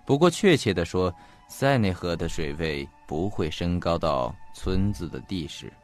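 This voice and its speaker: An actor playing a genial, measured police chief confiding a case in an audio mystery drama, in a good-humoured conversational way. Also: male voice, low voice, expressive, soft background music. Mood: amiable, confiding.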